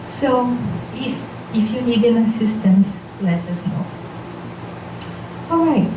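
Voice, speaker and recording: A woman whose first language is Portuguese, leading a spiritual seminar in English, speaking carefully and gently guiding her listeners. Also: on the high side, lively intonation. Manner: slow, careful, gently guiding, with frequent restarts. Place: meeting hall of a spiritist society. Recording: echoey hall, voice from far off, noisy.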